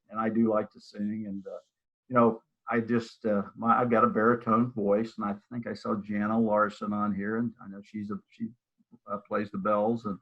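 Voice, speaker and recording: Low-voiced older man on a tinny line. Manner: rambling, with many restarts and fillers. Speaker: real estate broker and office manager. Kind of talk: casual interview over a live video call. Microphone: video call. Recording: tinny phone-like audio.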